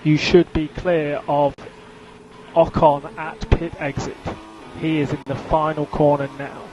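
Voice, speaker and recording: Guy race engineer on team radio, highish voice, short repeated instructions, loud vehicle noise behind. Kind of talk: clipped motorsport radio instructions.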